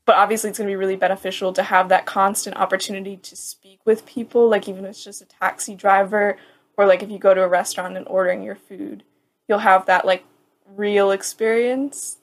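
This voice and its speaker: A woman's voice: mid-range voice, little pitch movement, fairly quick. A young American woman chatting casually about studying abroad, with lots of "like" fillers and a laugh now and then. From United States. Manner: chatty, frequent "like" fillers, laughs while talking. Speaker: student. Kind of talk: casual chat.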